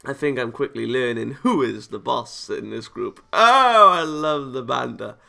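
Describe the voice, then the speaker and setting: Bright, creaky-voiced man reading a game aloud. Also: mid-range voice, expressive, unhurried, faint music behind. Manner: stumbles over lines and reacts playfully. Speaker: video game let's player. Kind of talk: reading a visual novel aloud with casual asides.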